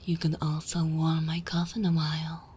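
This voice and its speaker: A woman playing a haughty, teasing vampire mistress in an ASMR roleplay, speaking formally and imperiously in a soft, breathy way. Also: low voice, monotone, normal speed. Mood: sly, flirtatious. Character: haughty, teasing female vampire mistress, possessive but willing to share.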